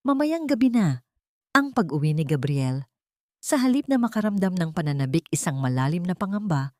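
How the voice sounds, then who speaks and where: Filipina lady reading a drama story, kinda low voice, dry sound no room echo. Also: a little mellow. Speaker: story narrator. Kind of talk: dramatic scripted story narration.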